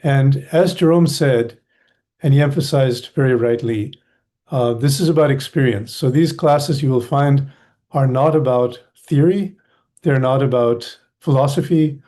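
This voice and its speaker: An older man from the USA introducing a meditation class in a gentle, explanatory way. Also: medium pitch, unhurried. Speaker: meditation instructor.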